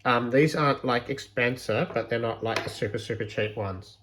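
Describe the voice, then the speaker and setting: A man's voice: mid-range voice, moderate pace, noisy background. A man presenting a homemade electronics project in an informal, unscripted way, hesitantly thinking aloud as he goes. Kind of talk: casual unscripted project walkthrough.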